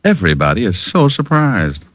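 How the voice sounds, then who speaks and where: A man's voice: on the low side, very animated, normal speed, lo-fi sound, a little hiss or hum. An actor playing a wisecracking male private detective who trades dry, sarcastic quips in an old radio drama. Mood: teasing. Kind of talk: 1950s radio drama acting.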